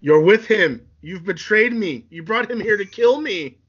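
A man's voice: high voice, lively intonation, moderate pace, pushed and bright, muffled recording, pitched above the performer's own voice. A man playing a furious, betrayed young warrior turning to evil in an amateur drama, declaiming melodramatically. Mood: furious, accusing.

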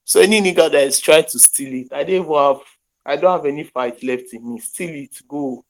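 A man's voice: a little high, brisk, low-quality recording. A young man from Nigeria with a Nigerian accent, hosting a podcast over an internet call and chatting casually in pidgin and English with Yoruba phrases, joking as he goes. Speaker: podcast host.

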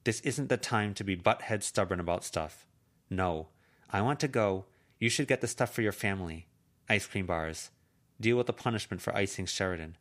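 A man's voice: on the low side, moderate pace, fairly bright tone, lightly acted. A man reading an amateur audiobook, voicing a furious teenage girl who hurls bitter, cutting insults. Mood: hard, curt.

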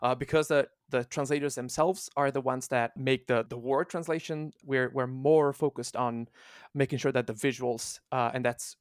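A man, a guest on a podcast, explaining his work in a casual, halting way with many restarts. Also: mid-range voice, steady tone, dry recording, clean studio sound. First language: Italian. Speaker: guest, translation manager.

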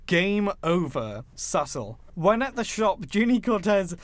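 Young guy chatting about movies on a podcast, voice on the higher side, really dry audio. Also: sing-song, a little slow, no room sound. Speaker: podcast co-host. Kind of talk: casual film discussion with scripted plot recap.

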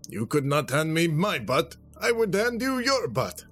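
A man's voice: big pitch swings, a little slow, fairly bright tone, soft background music, exaggerated voice. A man voicing a condescending, threatening fighter with a foreign accent and stilted, formal phrasing, in an audiobook. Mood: indignant, menacing. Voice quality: deep.

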